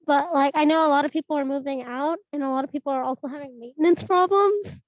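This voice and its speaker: A woman's voice: high-pitched, fast talker, dry recording, muffled recording. A student senator, a woman getting over a cold, chatting through a report at a meeting over a video call. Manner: chatty, lots of fillers.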